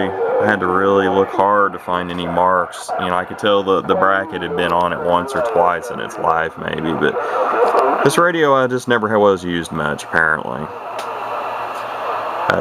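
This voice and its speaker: A man's voice: sits low, vocal fry. A middle-aged man giving a casual, unscripted demonstration in a folksy, plainspoken, hesitant and rambling way. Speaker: vintage radio hobbyist and demonstrator. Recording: noisy, with radio static behind. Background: CB radio noise from its speaker.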